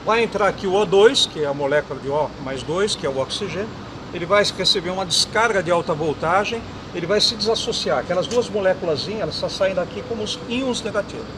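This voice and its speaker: A man's voice: high-pitched, fast talker. A man in his sixties explaining equipment technically outdoors, often tagging sentences with a checking question. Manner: explanatory, adds "tá?" tags. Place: outdoor parking lot. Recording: clear street noise behind.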